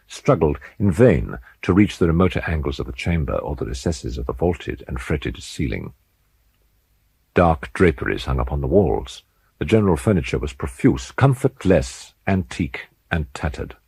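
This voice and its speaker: A man reads gothic fiction in a very low, creaky voice. His delivery is solemn and deliberate, with theatrical phrasing and wide swings of intonation. The script is dramatic narration, shaped like an audiobook. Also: darkish tone, dry recording.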